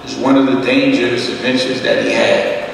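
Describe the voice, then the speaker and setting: A middle-aged man teaching a Bible study as pastor, talking conversationally with many fillers and a slightly rough voice, at a podium mic. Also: medium pitch, flat delivery, moderate pace. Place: church hall, indoors. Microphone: podium microphone, far camera. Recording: echoey hall, distant mic, background hiss.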